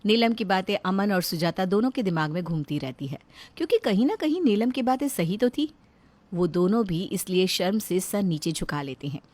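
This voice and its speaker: A woman's voice: medium pitch, expressive, moderate pace, a little mellow, no room sound. A woman storyteller reading a scripted narration for a moral family story.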